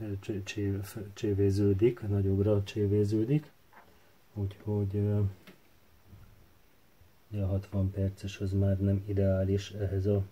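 A man narrating a hands-on tutorial casually, hesitant and halting as he thinks aloud while working. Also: on the low side, dark tone. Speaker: DIY tutorial host. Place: indoor workbench. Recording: faint background noise with handling clicks.

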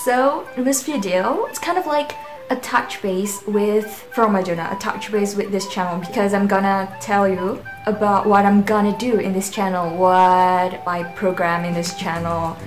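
A woman's voice: mid-range voice, moderate pace. A young woman from Indonesia, a native Indonesian speaker, talking to camera in a casual, rambling, self-deprecating way as a channel host in a small bedroom. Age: young adult. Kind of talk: casual vlog talk to camera. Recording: roomy echo with music behind.

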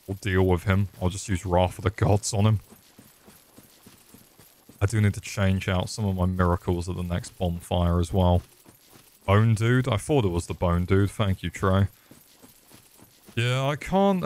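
A young man streaming a game, swearing and joking sarcastically as he plays. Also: lowish voice. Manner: sweary, sarcastic, crude jokes. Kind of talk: swearing live gameplay commentary.

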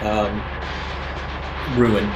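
A man narrating an improvised tabletop game as game master, feeling out his phrases in a halting way. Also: on the low side, flat delivery, noisy background. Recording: roomy sound under guitar music.

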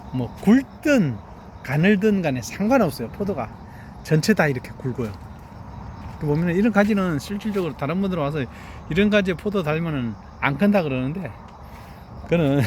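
Proud farmer man, raised pitch, outdoors. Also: noisy background. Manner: proud, boastful, repeats "look here" and asks "eh?". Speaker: grape farmer showing his harvest. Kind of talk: casual vlog walkthrough. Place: outdoor vineyard.

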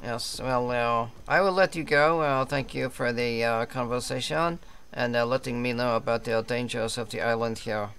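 A man's voice: mid-range voice, steady tone, moderate pace, few pauses, fairly bright tone, slight background noise. A man streaming a game, roleplaying a polite, hesitant character with a put-on French accent and many fillers. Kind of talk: in-character roleplay chat and live gameplay talk.

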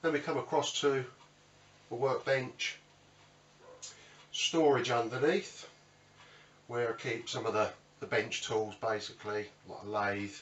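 A man's voice: medium pitch, fairly even intonation, a little slow, long pauses, fairly bright tone. A middle-aged British man giving a casual, chatty, unscripted tour as host of a woodworking channel. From United Kingdom. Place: small cluttered indoor home workshop. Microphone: camera mic a few metres away. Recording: roomy, distant mic, faint background noise.

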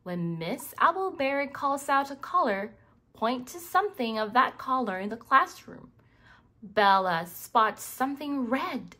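A woman reading a children's book aloud in an expressive way, speaking directly to young listeners. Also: high-pitched, unhurried. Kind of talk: scripted read-aloud narration for young children.

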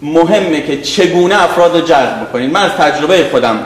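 A man's voice: a little high, expressive, reverberant. A man in the audience making a polite, formal comment, illustrating his point with examples. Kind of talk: comment from the floor. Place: library auditorium.

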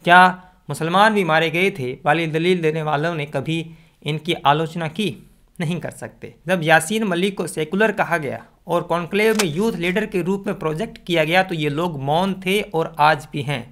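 Higher-voiced young man giving commentary. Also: normal speed, a bit bright. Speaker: video commentator. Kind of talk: scripted opinion commentary to camera. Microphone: desk condenser microphone, close.

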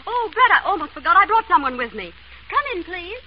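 An actress in a 1930s radio comedy-mystery playing a headstrong, blithely theatrical ex-wife who writes mysteries. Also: female voice, extremely high pitch, big pitch swings, fairly quick. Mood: breezy. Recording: dull, noisy 1930s radio recording.

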